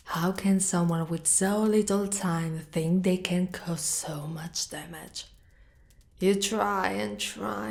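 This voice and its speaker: A woman voicing a proud, fiercely protective female dragon knight in an ASMR roleplay, speaking deliberately and often breathy or whispered. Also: on the low side, a little mellow. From Italy.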